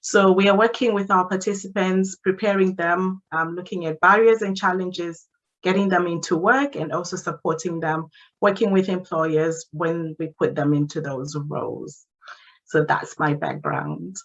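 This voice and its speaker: Hoarse woman on dull call audio. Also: fairly low pitch, normal speed. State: hoarse from a cold. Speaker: assistant psychologist. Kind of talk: self-introduction on a webinar. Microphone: video call mic.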